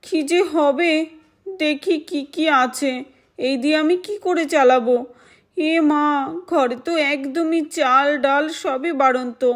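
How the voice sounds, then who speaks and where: Woman acting high-pitched fretful village mother doll. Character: poor, worried, caring village mother, a doll character. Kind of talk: doll-play story voice acting.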